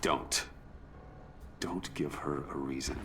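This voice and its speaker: A man playing a serious, wary undercover hero in a video game, speaking in a terse, measured, low-key way. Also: fairly even intonation, pushed and bright, a little hiss or hum, full character voice. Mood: tense, controlled.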